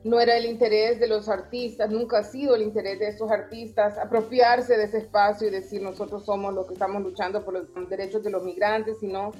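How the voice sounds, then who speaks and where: A woman's voice: medium pitch, flat delivery, fairly quick, few pauses, darkish tone. A woman from El Salvador, a professor and artist, answering interview questions in a thoughtful, earnest way. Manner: thoughtful, earnest, occasional restarts. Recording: slightly dull lo-fi sound.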